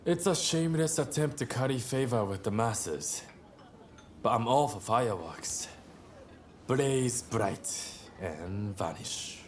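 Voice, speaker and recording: A man's voice: medium pitch, expressive, takes it slowly, a little hiss or hum. A man playing an irreverent hard-drinking rebel fighter in a video game, offhand and dismissive. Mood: scornful, reckless. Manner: scornful, offhand. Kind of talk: video game cutscene acting. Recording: faint music behind.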